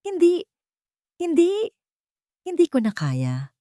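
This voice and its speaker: A woman's voice: extremely high pitch, wildly swooping pitch, slow, mellow, no room sound, clean studio sound, pitched above the performer's own voice, heavily acted. A woman voicing a guilt-ridden, paranoid army colonel in a dramatic audio story, with agitated outbursts. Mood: desperate.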